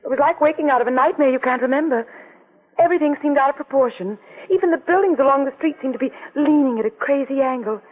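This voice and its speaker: A woman's voice: high-pitched, fast talker, close to an everyday voice. An actress playing a frightened young woman with amnesia, anxious and pleading, in 1940s radio acting. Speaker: detective's client. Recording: tinny old radio broadcast.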